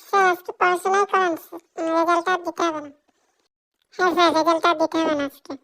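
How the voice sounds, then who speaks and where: Cheeky cartoon schoolkid, extremely high squeaky voice, whiny, boastful, exaggerated comedy delivery. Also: steady tone, fast, bright, forceful tone, exaggerated voice. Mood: nervous pleading. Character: cheeky schoolchildren, lazy, boastful, whining to their teacher.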